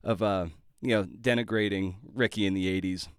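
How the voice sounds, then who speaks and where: A man hosting a podcast interview in a casual, halting and rambling way, with many fillers. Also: low-pitched, steady tone, clear, resonant tone, dry recording, clean studio sound.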